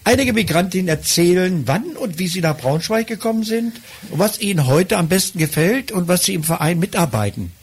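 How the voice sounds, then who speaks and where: A man's voice: high voice, expressive, fairly quick, few pauses, husky. A man hosting a community broadcast special, asking short plain questions. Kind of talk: radio-style interview, brief questions.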